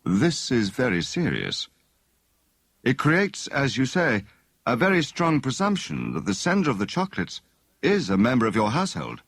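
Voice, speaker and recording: A man playing a courteous, measured English private detective, polite and probing, in an audiobook story. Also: medium pitch, huge pitch swings, creaky, fairly bright tone, dry recording, studio quality, exaggerated voice. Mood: serious, probing.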